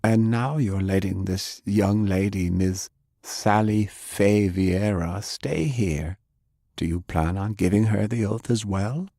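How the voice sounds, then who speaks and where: A man voicing a principled, weary older gentleman in an audiobook, speaking in a measured, disapproving manner. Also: fairly low pitch, creaky, no echo, clean studio sound, full character voice. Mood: questioning. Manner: measured, reproving. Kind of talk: audiobook character acting.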